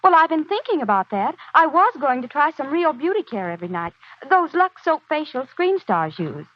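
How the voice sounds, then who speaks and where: An actress playing a chirpy young housewife musing to herself in a 1940s radio advertisement, perky and confiding. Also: female voice, sits high, sing-song, moderate pace, no echo. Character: chirpy, self-appraising young housewife at her mirror. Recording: dull, dry 1940s radio broadcast.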